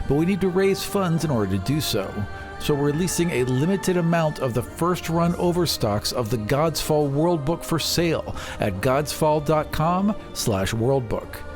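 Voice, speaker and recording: A man's voice: on the high side, animated, moderate pace, over music. A man speaking as the host and game master of a tabletop fantasy show.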